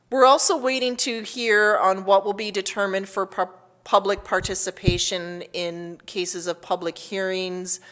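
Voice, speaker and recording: Canadian woman giving a formal official address. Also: lowish voice. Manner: measured, official, reading out updates. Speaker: mayor. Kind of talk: formal scripted public address.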